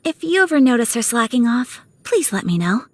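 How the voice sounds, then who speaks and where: A young woman playing a refined, dutiful, gentle noblewoman in a video game, speaking with formal, courteous, measured phrasing. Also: a little high, very animated, dry recording. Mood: gentle, composed. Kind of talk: scripted video game character dialogue. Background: music.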